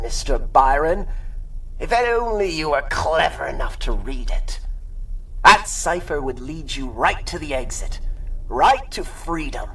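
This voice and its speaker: A sadistic pulp supervillain toys with his victim in a radio play. The delivery is slow and theatrical, swinging widely in pitch, mocking and gloating, heard slightly away from the mic. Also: gravelly, sharp tone, slightly rough, far from the mic, exaggerated voice, poor audio. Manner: mocking, drawn-out, theatrical, frequent cackling. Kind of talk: radio drama acting in 1930s pulp style.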